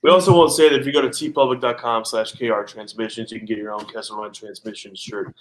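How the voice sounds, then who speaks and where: A man's voice: fairly low pitch, fairly even intonation, fast talker. Playful laughing man, faint background noise. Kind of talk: casual livestream chat.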